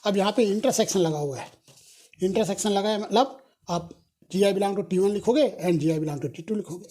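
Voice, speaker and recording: Mellow husky woman dictating a proof. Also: mid-range voice. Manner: slow, repetitive dictation of proof steps; self-correcting, apologetic on slips. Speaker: mathematics lecturer. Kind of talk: recorded university lecture, mixing Hindi and English.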